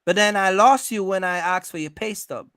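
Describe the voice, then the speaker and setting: Man, creaky high voice, dry close sound, emphatic, repetitive, conversational advice. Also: normal speed, bright, forceful tone, no room sound. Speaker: host giving business advice to truck owners. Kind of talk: animated advisory conversation.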